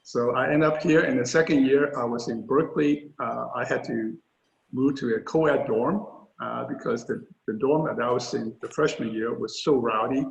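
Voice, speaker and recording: An older man with a gravelly, fry-tinged voice, speaking candidly and with self-deprecating humor. The sound is roomy and somewhat dull and lo-fi, like a room recording of an interview. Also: medium pitch, normal speed. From Hong Kong. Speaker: interview guest. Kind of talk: storytelling in a panel conversation.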